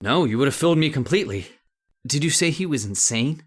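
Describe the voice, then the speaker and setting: Male actor as trusting researcher doctor, shaken and hesitant, animated intonation, vintage radio melodrama. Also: fairly high pitch, fairly quick, slightly rough. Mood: astonished. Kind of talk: old-time radio horror acting.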